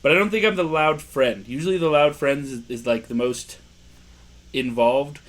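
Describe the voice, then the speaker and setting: A young man with a loud voice, co-hosting a casual podcast, joking, laughing and reading quiz questions aloud. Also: medium pitch, normal speed. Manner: joking, playful, laughs often. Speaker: podcast co-host.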